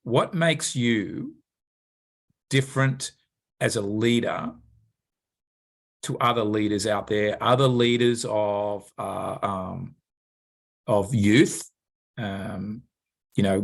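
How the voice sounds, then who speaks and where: A man's voice: medium pitch, slow, creaky, long pauses. A man hosting a podcast, asking interview questions in a searching, hesitant way with many fillers.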